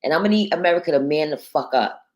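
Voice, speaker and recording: A woman from New York with a naturally deep voice hosts a casual livestream. She talks bluntly and swears freely, reading viewer comments aloud and answering them in an easy conversational flow. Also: animated, quick pace, darkish tone. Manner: blunt, profane and outspoken. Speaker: livestream host.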